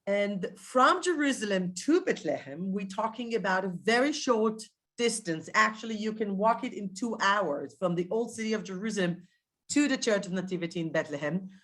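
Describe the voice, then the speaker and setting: A woman with an Israeli accent leading a virtual tour over a video call, speaking with many ums and restarts. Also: medium pitch. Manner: hesitant, with frequent ums and restarts. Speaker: tour guide and educator. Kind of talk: live online virtual tour talk.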